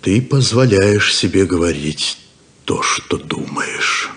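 Proud, guarded lawman, lowish voice. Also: lively intonation, slow, fairly bright tone, heavily acted. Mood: guarded, stung. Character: proud, guarded, lonely male deputy sheriff. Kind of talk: 1970s radio play acting.

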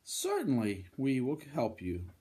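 A man's voice: mid-range voice, huge pitch swings, measured pace, dark tone, breathy edge, exaggerated voice. A man voicing eager, polite little dragons in a children's storybook, with a sweet, happy manner. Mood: willing.

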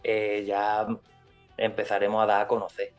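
A man's voice: fairly low pitch, completely flat delivery, moderate pace. Guy on a webcam call, chatty, keeps asking "me entiendes", bit of music behind. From Spain. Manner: rambling, restarts, tag questions. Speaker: guest musician. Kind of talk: casual video call interview. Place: indoor room. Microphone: webcam on video call.

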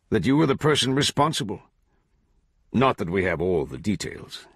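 Man voicing a grandiloquent, slow, menacing judge. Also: mid-range voice, breathy edge, no echo, studio quality, voice pushed up. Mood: solemn accusing. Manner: grandiloquent, deliberate, archaic phrasing. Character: eloquent, menacing, smiling older judge, grandiose and coaxing. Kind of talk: literary audiobook character voice.

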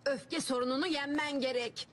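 A woman's voice: high voice, fairly even intonation, moderate pace, fairly bright tone. A woman voicing an exasperated, fussy middle-aged cat housewife in a cartoon, given to scolding. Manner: scolding, nagging, exclaiming. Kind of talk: cartoon voice acting.

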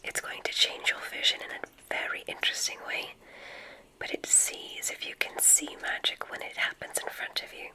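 A woman whispering gently and soothingly in an ASMR roleplay as a kindly witch examiner, breathy and unhurried. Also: rough recording. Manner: gentle, unhurried, soothing, theatrical word choice with soft repetitions. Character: kindly witch medical examiner at a magic school. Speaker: ASMR performer playing a witch doctor.

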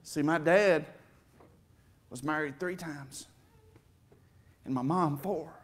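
Middle aged preacher guy, kinda high voice, heartfelt and emotional, swells up loud. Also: takes it slowly. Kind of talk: sermon testimony. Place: church sanctuary with stage. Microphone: far camera.

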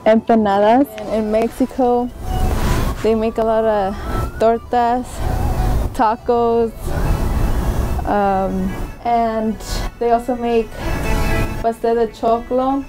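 A teenage girl from Seattle answers casual interview questions slowly. Her voice sits slightly high as she lists items one by one. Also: level tone, music in the background, poor audio. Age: teens. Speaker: student interviewee.

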